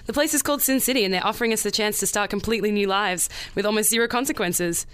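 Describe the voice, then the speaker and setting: A woman's voice: medium pitch, fast, a bit bright, clear, resonant tone, lightly acted. A woman playing a confident, persuasive schoolteacher in a noir radio play, with a casual, coaxing manner. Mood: assured.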